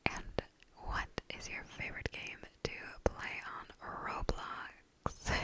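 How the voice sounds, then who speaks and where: A young woman from the southern United States, softly whispering through a casual ASMR question-and-answer as the channel host. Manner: soft unvoiced whisper, slow and gentle; casual, uses "like" and "you know".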